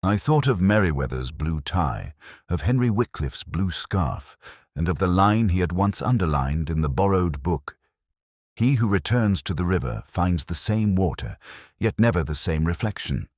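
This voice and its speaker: A man reading scripted first-person mystery narration in a measured, literary, melancholy manner. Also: sits low, expressive, a little mellow, dry recording, studio quality.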